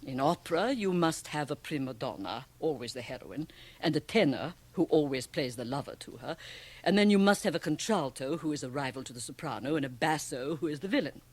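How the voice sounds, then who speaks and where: Shrewd woman, low husky voice, blunt and theatrical, radio play. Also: expressive, a little fast, darkish tone. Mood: explaining, knowing. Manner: emphatic, theatrical, probing. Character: shrewd, worldly, provocative Swiss woman, blunt and teasing. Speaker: radio drama actress. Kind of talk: radio drama acting.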